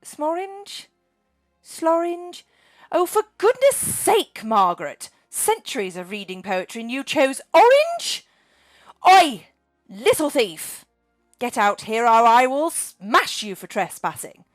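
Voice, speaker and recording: A woman voicing a grumpy ancient female dragon who hoards books, talking in a shrill, snappish way. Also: huge pitch swings, slow, dry recording, soft background music, heavily acted. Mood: indignant.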